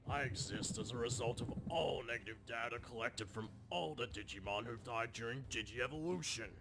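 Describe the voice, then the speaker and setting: A man playing a hateful monstrous villain in a cartoon parody, with a gravelly voice and a menacing, dramatic delivery. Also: medium pitch, brisk, noisy background, close to an everyday voice. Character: hateful monstrous villain born of dead creatures' data.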